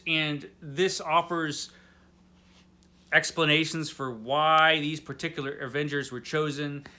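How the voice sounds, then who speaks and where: A man's voice: fairly high pitch, pushed and bright. A tipsy man hosting a casual comic book review, rambling along in a wry, tongue-in-cheek way. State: drunk.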